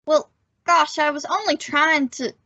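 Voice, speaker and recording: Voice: high voice, a little slow, sharp tone, exaggerated voice. A woman playing a cheeky, literal-minded teenage son in an old-time radio family comedy. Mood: defensive. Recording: dull, very noisy recording.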